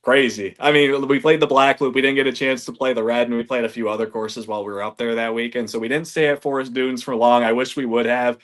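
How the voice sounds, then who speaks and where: A man in his thirties from Michigan, a golf reviewer chatting as a podcast guest, energetic and loose, swearing casually and saying "man" and "like" a lot. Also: mid-range voice, quick pace, few pauses. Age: 30s. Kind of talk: casual chat.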